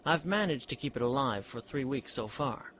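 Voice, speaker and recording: A man's voice: mid-range voice, animated, normal speed, fairly bright tone, a little hiss or hum, close to an everyday voice. An actor playing an eager, eccentric scientist in a period radio drama, precise and earnest as he lectures. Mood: enthusiastic. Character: eager eccentric male scientist, brother of a detective.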